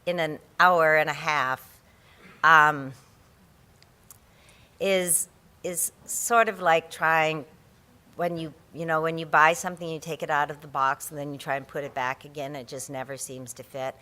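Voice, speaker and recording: Casting: low, bright-toned woman instructor; chatty, self-deprecating, restarts a lot; mic in a big conference room. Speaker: environmental law instructor. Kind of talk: conference presentation. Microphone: handheld or podium microphone.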